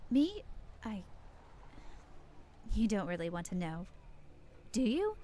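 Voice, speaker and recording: A woman's voice: medium pitch, sing-song, slow pace, slight background noise. A woman playing a young, streetwise female vampire in a video game, talking in a casual, sardonic and playful way. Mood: wistful. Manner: casual, wry, teasing. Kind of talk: video game character dialogue. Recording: loud music behind.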